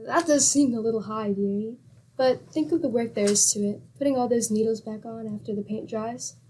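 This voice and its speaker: A woman's voice: a little high, fairly quick, warm tone, a little hiss or hum, close to an everyday voice, poor audio. A woman acting a patient, sensible wife in old-time radio comedy, with dry, affectionately teasing sarcasm. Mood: wry.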